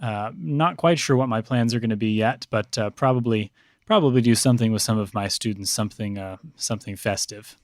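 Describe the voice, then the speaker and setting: A man speaking as a priest guest on a podcast, explaining customs in a measured, halting, conversational way. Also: on the low side, no room sound, studio quality.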